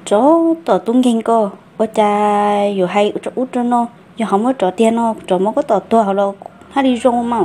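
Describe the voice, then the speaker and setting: Woman voicing fairly high female cartoon character. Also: normal speed, warm tone, natural voice. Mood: conversational. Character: female character in a family folk-tale cartoon. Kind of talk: cartoon folk-tale dialogue.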